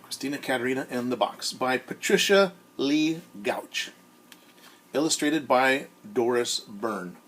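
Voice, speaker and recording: Man, medium pitch, teacher, expressive storybook read-aloud, questioning asides to children, faint background noise. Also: a little slow. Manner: expressive, questioning, addresses listeners directly.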